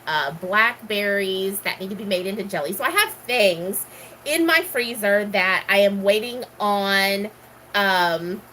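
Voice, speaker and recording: A woman's voice: mid-range voice, bright, forceful tone, a little hiss or hum, rough recording. A middle-aged woman from Texas, casually chatting and answering questions, rambling with many ums. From Houston, Texas. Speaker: gardening vlogger.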